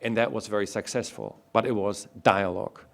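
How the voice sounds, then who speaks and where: A man from Germany, speaking as a panelist in a public discussion and making his points one by one in a measured way. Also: lowish voice, steady tone, vocal fry.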